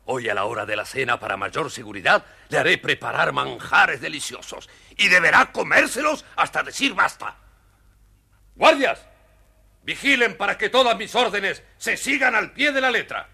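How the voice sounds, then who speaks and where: A man's voice: on the high side, animated, normal speed, creaky, pushed and bright, slightly rough, exaggerated voice. An actor playing an imperious, theatrical fairy-tale king in a children's audio story. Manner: theatrical, commanding.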